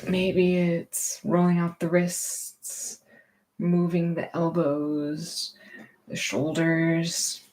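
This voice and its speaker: A woman teaching gentle movement and meditation in a reassuring, permissive, unhurried manner. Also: lowish voice, completely flat delivery.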